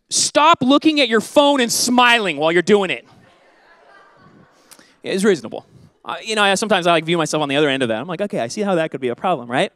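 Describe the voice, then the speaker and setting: A man in his thirties preaching a sermon on a church stage into a handheld mic, animated and joking, then dropping to soft earnest tones. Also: high-pitched, no room sound. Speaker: pastor.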